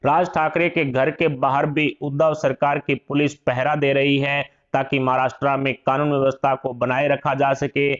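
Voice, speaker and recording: A man's voice: medium pitch, flat delivery, moderate pace, few pauses. Man narrating news, dull lo-fi sound.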